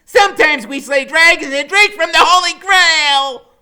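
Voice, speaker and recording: Fierce tiny boy shouting orders at full volume, high and bright. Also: measured pace, full character voice. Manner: booming, commanding.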